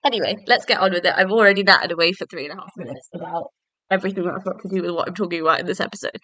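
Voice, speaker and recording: A woman's voice: fairly low pitch, racing, pushed and bright. A young woman hosting her own podcast, chatting and rambling with lots of fillers, a little bunged up from a cold. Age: young adult. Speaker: podcast host. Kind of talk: casual solo book-review podcast.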